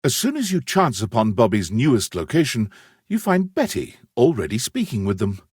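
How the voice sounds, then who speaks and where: A man's voice: medium pitch, huge pitch swings, normal speed, darkish tone, slightly rough, no room sound, studio quality. A man playing an arch, dramatic narrator of a video game, reading scripted narration. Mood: wry.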